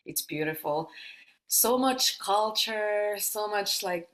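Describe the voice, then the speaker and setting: A woman from Finland, likely in her thirties, a yoga teacher and sound healer answering interview questions casually, laughing often and pausing with fillers. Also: medium pitch, animated, a bit bright.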